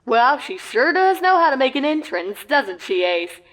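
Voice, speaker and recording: A woman voicing showy, over-excited cartoon pony sports announcers in grandiose mock commentary. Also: high-pitched, pitched above the performer's own voice, rough recording. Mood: chatty. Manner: grandiose, drawn-out introductions.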